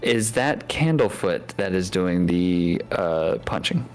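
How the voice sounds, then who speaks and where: A man's voice: deep voice, expressive, takes it slowly. A man playing a tabletop game, chatting casually and speaking in character. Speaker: player. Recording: background music.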